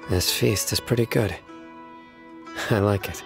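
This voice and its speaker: A solemn young enforcer from a video game with a low voice and very slow delivery. He is stoic and dutiful, with music clearly underneath. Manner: serious, reserved, deliberate. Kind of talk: video game character acting.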